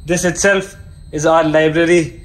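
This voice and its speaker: A man's voice: a little high, fairly even intonation, a little slow, a little hiss or hum. A man, chatting casually and eagerly as he films a tour of a cargo ship at arm's length. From India. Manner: chatty, enthusiastic, restarts and fillers. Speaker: seafarer vlogger giving a ship tour. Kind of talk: casual vlog tour talk. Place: aboard a cargo ship, outdoor decks and indoor day room. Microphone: handheld camera at arm's length.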